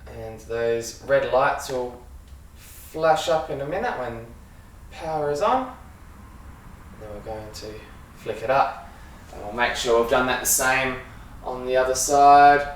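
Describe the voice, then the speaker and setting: A man with an Australian accent giving a casual, halting hands-on demonstration as a sound engineer while he works. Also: mid-range voice. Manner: informal, halting, talking while working with hands. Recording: echoey room, distant mic, faint noise.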